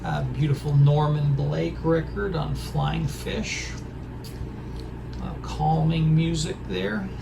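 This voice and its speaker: A hoarse man with a raspy voice talks in a halting, hesitant way while showing off records he has collected. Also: on the high side, fairly even intonation, background noise. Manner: halting, hesitant, full of pauses. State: hoarse from a throat injury. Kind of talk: casual record haul show-and-tell.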